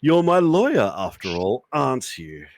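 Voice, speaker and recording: A man's voice: mid-range voice, big pitch swings, normal speed, slight background noise. A man voicing a smug, manipulative celebrity killer with a theatrical, condescending, mock-sweet drawl in a game fan dub. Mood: mocking, menacing. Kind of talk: live fan dub of a visual novel game.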